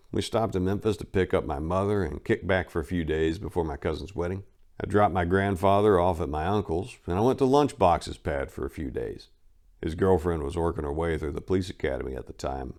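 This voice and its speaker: A low-voiced man reads his own life story aloud in the first person. He is blunt and wry, and now and then he jumps up in pitch and volume to punch a line. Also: darkish tone. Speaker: narrator reading a memoir aloud. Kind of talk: first-person dramatic reading.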